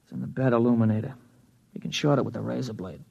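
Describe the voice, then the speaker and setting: An actor playing a sly young hotel bellhop with a husky voice and slangy, furtive talk, in 1950s radio drama style. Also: male voice, medium pitch, fast talker, darkish tone. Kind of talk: 1950s science fiction radio acting. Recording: dull vintage radio recording.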